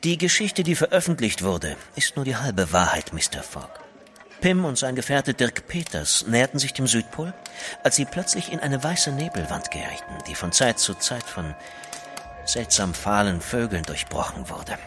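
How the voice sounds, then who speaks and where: An actor in a German audio drama playing a driven gentleman writer, dramatic and insistent, sometimes hushed. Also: mid-range voice, sing-song, normal speed, noisy background, natural voice. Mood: ominous. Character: intense, driven gentleman writer pleading for help.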